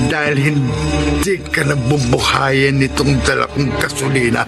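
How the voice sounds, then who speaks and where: A Filipino actor playing an abusive, boastful young husband in a radio drama, with a menacing, taunting delivery. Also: male voice, fairly high pitch, vocal fry.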